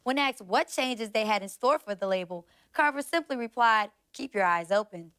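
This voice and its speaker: A woman's voice: a little high, expressive, fairly bright tone. A woman playing a composed news anchor in a video game, reading scripted reports formally with an American accent. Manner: polished, formal, measured reading.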